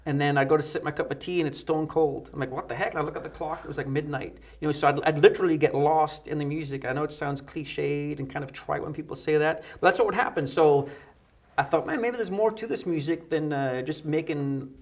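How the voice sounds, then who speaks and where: A man's voice: fairly high pitch, fairly quick. A middle-aged man from the United Kingdom telling anecdotes as a guest in a conversational interview. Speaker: interview guest, electronic musician. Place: dark indoor studio set, seated.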